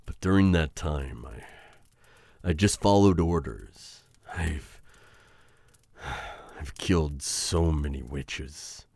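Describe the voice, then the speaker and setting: A man playing a menacing, conflicted witch hunter in an ASMR roleplay, addressing his captive in a halting, intimate, taunting way. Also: very deep voice, a little mellow, no echo, heavily acted. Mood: weary, remorseful.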